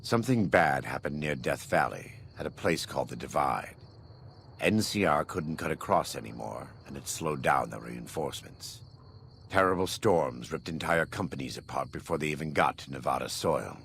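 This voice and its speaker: A man playing a grave, devout, burn-scarred former war leader in a video game, speaking in a solemn, measured, preacherly way with a deep gravelly voice. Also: expressive, a bit bright, a little hiss or hum, full character voice. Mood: grave, reflective. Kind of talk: video game dialogue acting.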